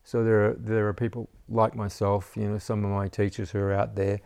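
Gravelly man, low and rambling. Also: flat delivery, fairly quick, darkish tone, no room sound, studio quality. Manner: rambling, repeats words, says "you know" often. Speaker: herbalist teacher. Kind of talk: casual interview chat.

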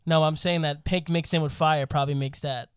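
A man's voice: on the high side, fairly even intonation, fairly quick, clean tone, dry recording, studio quality. A young man in casual podcast banter who keeps asking curious questions and laughs often.

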